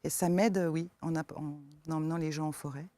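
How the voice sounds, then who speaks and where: A woman from eastern France with a slight eastern French accent, answering interview questions as a forest therapy guide, soft-spoken and hesitant with many fillers. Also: deep voice, steady tone, a little fast, no room sound.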